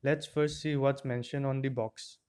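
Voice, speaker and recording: A man's voice: medium pitch, little pitch movement, normal speed, a little mellow, clear, resonant tone. A man narrating an unboxing and product review in a plain, step-by-step explaining way, recorded on an earphone microphone.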